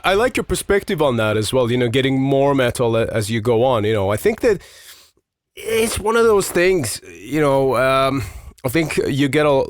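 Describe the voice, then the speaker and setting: A man's voice: medium pitch, dry recording, studio quality. A man hosting a podcast, whose first language is Farsi, talking in a thoughtful, rambling way with many fillers. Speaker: podcast host. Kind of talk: casual conversation and read promo.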